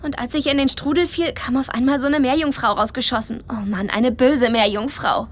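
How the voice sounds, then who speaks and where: A woman's voice: sits high, fairly even intonation, fairly quick. A woman playing a brash, flustered young surfer girl in a children's audio drama, blunt and colloquial.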